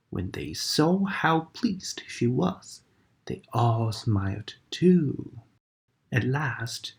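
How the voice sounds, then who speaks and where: Slow, lively bedtime story reader, a woman. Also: rumbling low. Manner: gentle, animated storytelling. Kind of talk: bedtime story read-aloud.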